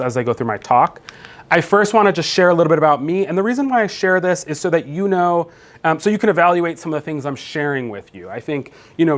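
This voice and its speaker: A man in his thirties from New Jersey giving a conference keynote, talking conversationally with many fillers and restarts. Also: on the high side. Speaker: keynote speaker, professor. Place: conference room.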